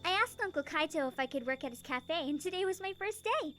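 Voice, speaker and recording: A young woman playing a spirited, teasing little sister in a video game, casual, sassy and expressive. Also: high voice, fairly quick, faint music behind. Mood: weary.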